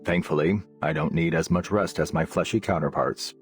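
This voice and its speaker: An actor playing an eccentric, curious, officious nonhuman scientist in a video game, speaking in an animated, fussily precise, scholarly way. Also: male voice, a bass voice, normal speed, faint music behind. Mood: musing, mild. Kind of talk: video game character voice lines.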